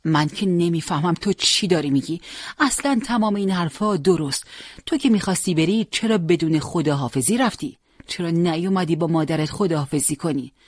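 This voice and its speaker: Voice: mid-range voice, animated, breathy edge, no room sound, studio quality. A storyteller voicing a worried mother pleading tenderly and reproachfully with her son in an audiobook. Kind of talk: acted dialogue in an audiobook.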